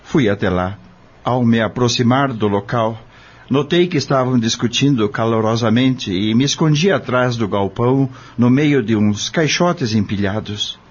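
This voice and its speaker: Man as husky Brazilian witness telling a crime. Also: medium pitch. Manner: grave, deliberate storytelling. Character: troubled imprisoned male witness recounting a crime. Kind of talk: scripted first-person drama narration. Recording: dull lo-fi audio.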